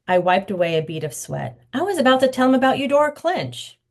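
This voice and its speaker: A woman's voice: a little high, brisk. A woman author reading her children's novel aloud, telling the story expressively. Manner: expressive storytelling, acting out the dialogue.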